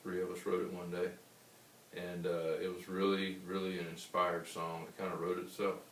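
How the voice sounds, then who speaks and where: A man with a baritone voice, a singer-songwriter answering interview questions in an unhurried, rambling way. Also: monotone, fairly bright tone. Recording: roomy, distant mic with faint hiss.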